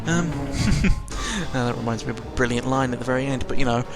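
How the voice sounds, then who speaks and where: British guy rambling about games, kinda sarcastic and sweary, middling pitch, music clearly playing behind him. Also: moderate pace. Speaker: video game commentator. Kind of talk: casual unscripted rambling commentary. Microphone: close microphone. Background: video game music.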